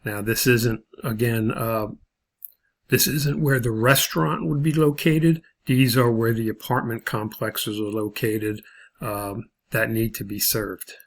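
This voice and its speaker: A man instructing in a video lesson, explaining a worked problem step by step in a halting way. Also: mid-range voice, fairly even intonation. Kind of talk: video lesson walking through example problems.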